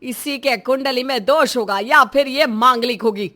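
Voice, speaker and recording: A woman voicing a harsh, contemptuous older Indian mother-in-law in a melodramatic audio story, berating in a shrill manner. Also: normal speed, sharp tone. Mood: scolding.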